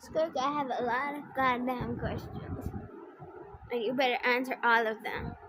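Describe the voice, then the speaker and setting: A woman's voice: a little high, fairly even intonation, a little slow, noisy background. A woman giving casual, sarcastic live commentary as she streams a video game, with some swearing. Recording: loud game music behind the voice.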